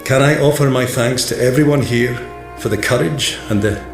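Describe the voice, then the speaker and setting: A man's voice: medium pitch, natural voice. Guy acting formal british coroner, solemn and kind, radio drama, echoey with music. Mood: solemn, compassionate. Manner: measured, formal. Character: solemn, sympathetic male coroner delivering a verdict. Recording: echoey room under music.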